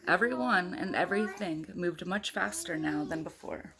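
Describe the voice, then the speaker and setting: A woman's voice: fairly low pitch, normal speed, creaky, background noise. A woman doing a casual storytime read-aloud, speaking informally as a mother does to small children, with chatty asides and questions. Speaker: mother reading a picture book to young children and viewers.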